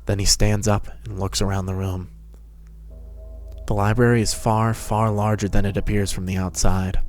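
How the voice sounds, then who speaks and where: A man's voice: lowish voice, little pitch movement, moderate pace, a little mellow, soft background music. A man narrating a fan-fiction story as a scripted dramatic reading.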